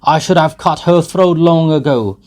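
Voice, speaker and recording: A man's voice: on the high side, moderate pace, darkish tone, pitched above the performer's own voice, lightly acted. A man voicing a drunken, boastful young peasant who bellows at his friends. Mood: boastful shouting. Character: drunken young male peasant, loud brute.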